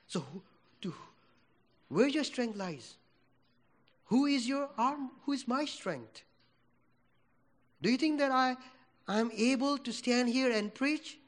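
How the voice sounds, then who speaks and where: A man from Nepal with a Nepali accent, for whom English is not his first language, preaching a sermon in a church, swinging from loud declarations to hushed prayer. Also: very high-pitched.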